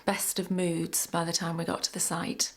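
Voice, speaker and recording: An actress playing a shaken, regretful engineer who recounts a crash haltingly in a training film drama. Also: female voice, lowish voice, flat delivery, natural voice. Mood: weary, reflective. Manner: halting, pausing mid-thought. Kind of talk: reflective first-person testimony in a training film drama.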